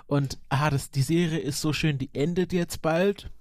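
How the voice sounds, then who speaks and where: A man's voice: fairly high pitch, fairly even intonation, moderate pace, a little mellow, no echo, clean studio sound. A man talking casually and enthusiastically as a podcast guest, rambling a little and often hesitating mid-sentence. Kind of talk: enthusiastic casual talk about animated series.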